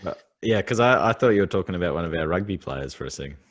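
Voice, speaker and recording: Laid-back deep-voiced podcast man. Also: lively intonation, fast. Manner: laid-back, laughs along, short replies. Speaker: podcast co-host. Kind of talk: casual chat.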